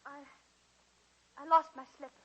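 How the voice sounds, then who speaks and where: A woman playing a proper, kindly young schoolteacher with an expressive, slightly prim delivery, in a vintage 1940s radio drama. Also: moderate pace, lo-fi sound, close to an everyday voice. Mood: flustered.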